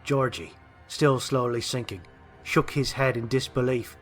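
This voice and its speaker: A man's voice: mid-range voice, steady tone, measured pace, faint music behind. A man reading a scripted historical ghost story as a dramatic narrator.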